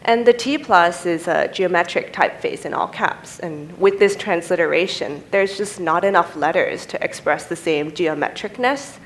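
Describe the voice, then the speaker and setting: Young woman, native Thai speaker, conference presenter; lowish voice, calm and explanatory, podium mic with faint room hum. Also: rough recording. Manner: measured, explanatory, steady delivery. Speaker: type designer giving a conference talk. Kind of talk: presenting slides at a design symposium. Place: conference stage, indoors.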